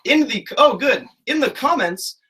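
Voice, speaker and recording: A man's voice: extremely high pitch, lively intonation, a little slow, bright, forceful tone, breathy edge. A young man hosting a casual interview from his bedroom on a webcam, eager and chatty. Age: young adult. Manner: eager, chatty, laughs easily. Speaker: show host. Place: bedroom with shelves of toys.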